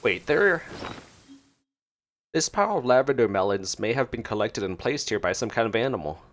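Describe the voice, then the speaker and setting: Animated man doing casual game commentary. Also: mid-range voice, normal speed. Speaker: gameplay streamer.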